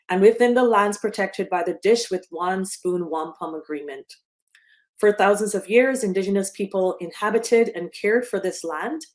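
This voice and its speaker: A woman in her fifties from Barbados, giving a remote slide presentation with frequent ums and self-corrections. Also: mid-range voice. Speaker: guest speaker. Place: speaking remotely from home or office.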